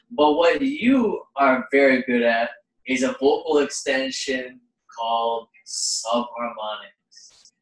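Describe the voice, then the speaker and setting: A man hosting a casual interview in halting, broken-up phrases, recorded in a room at some distance from the microphone. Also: medium pitch, a bit bright. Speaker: host, interviewer and singer. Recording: roomy, distant microphone pickup.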